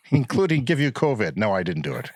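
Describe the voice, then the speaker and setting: Jokey man bantering with animated intonation. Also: mid-range voice, normal speed, no room sound. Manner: jokey, exclamatory. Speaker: podcast co-host. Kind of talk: casual bantering chat with some scripted show intro.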